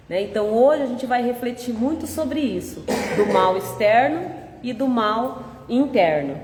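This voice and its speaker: A Brazilian woman giving a gentle, conversational spiritist talk with a nasal, congested voice from a cold. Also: mid-range voice, a little hiss or hum. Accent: Brazilian Portuguese. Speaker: spiritist lecturer. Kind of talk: public talk with opening prayer. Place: large hall of a spiritist center. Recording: echoey hall with long reverb.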